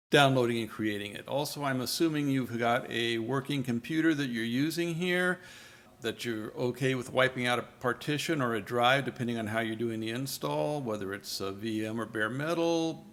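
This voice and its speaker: An older man narrates a home tech walkthrough in a relaxed, conversational way. His voice has a gravelly texture, and he often pauses to correct himself or fill gaps with "uh.". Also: mid-range voice. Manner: rambling, self-correcting, many uh fillers. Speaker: tech tutorial host. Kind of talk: casual screen-recorded walkthrough.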